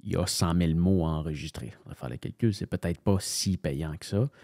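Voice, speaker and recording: A man's voice: low voice, fairly quick, gravelly, dark tone, no room sound, clean studio sound. A man with a Canadian French accent, a voice-over narrator, chatting casually and rambling with many fillers and restarts as he thinks aloud. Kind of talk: casual screen-share commentary.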